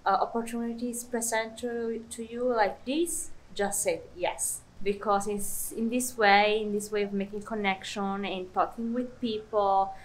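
A woman's voice: medium pitch, little pitch movement, measured pace, few pauses, poor audio. A young woman vlogging casually to the camera, chatty, with lots of fillers and restarts. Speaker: travel vlogger. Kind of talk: casual vlog talk to camera.

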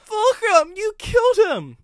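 A woman's voice: extremely high pitch, wildly swooping pitch, slow pace, no room sound, voice pushed up, exaggerated voice. A narrator voicing a young woman who works as a train fireman, urgent and easily shaken, in a spoken story. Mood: horrified.

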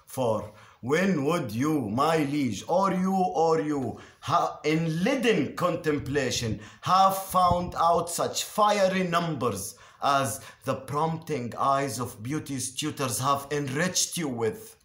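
A man reciting Shakespearean verse theatrically, declamatory and deliberate, with dramatic pauses and emphatic swells. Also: a little high, lively intonation, a bit bright. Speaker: actor reciting a Shakespeare monologue. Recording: roomy, echoing space.

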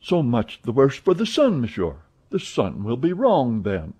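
A man putting on an excitable young French manservant. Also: medium pitch, husky, voice pushed up, full character voice. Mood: excited. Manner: eager, exclamatory, quick to protest.

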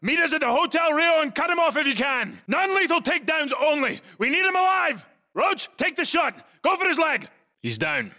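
An actor playing a tough, urgent special forces squad leader in a video game, giving clipped, commanding military orders. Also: male voice, very high voice, sing-song, normal speed, pushed and bright, full character voice. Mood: controlled, tense. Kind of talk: video game battle dialogue.

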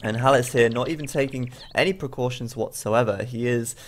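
A man's voice: medium pitch, fairly quick, slight background noise. Tired, stuffy-nosed male game caster. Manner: rambling, filler-heavy commentary. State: bunged up with a cold; very tired, late at night. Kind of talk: casting a strategy game match.

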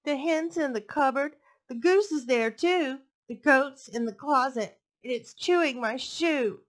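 Slow, sing-song woman reading to children. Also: sits high. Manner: sing-song, playful rhythmic delivery. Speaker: librarian reading storytime. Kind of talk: expressive picture-book read-aloud for children. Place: living room, indoors. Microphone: headset mic.

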